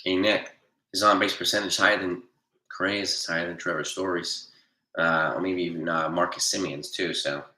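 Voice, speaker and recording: A man hosting a casual livestream, reading viewer comments and answering them bluntly, nasal from allergies and a cold and often clearing his throat. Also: low voice, fairly even intonation, moderate pace, pushed and bright, echoey room. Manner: blunt, argumentative, defends his opinions. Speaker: livestream host.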